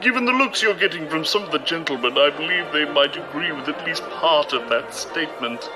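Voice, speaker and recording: A man's voice: a little high, animated, fairly quick, over music, crowd noise, natural voice, poor audio. A man playing a courteous, loyal aide to a noblewoman in an audio drama, speaking formally, precisely and deferentially. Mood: polite, dry.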